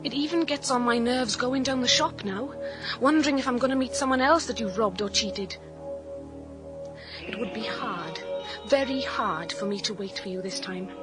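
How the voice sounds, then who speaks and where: A woman's voice: on the high side, lightly acted. An actress playing a weary, wounded British wife reading out her farewell letter in a radio thriller, plaintive but resolute. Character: weary, wounded wife leaving her jailed criminal husband. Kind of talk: letter read as dramatic monologue.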